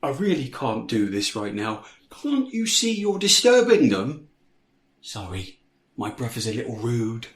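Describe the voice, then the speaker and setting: A man's voice: medium pitch, sing-song, unhurried, reverberant. An actor playing a harmless, eccentric old man in an audio drama, rambling and pleading earnestly. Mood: agitated, pleading.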